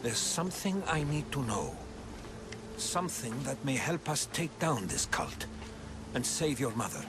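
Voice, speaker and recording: Earnest older Greek scholar, animated delivery. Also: male voice, mid-range voice, background noise. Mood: measured persuasion. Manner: measured, earnest, admonishing. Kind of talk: video game cinematic acting.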